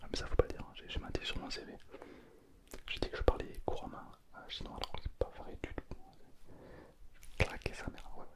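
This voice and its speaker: A man whispering a playful, self-mocking ASMR roleplay as a pretend Mandarin teacher, close to the mic. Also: a little fast.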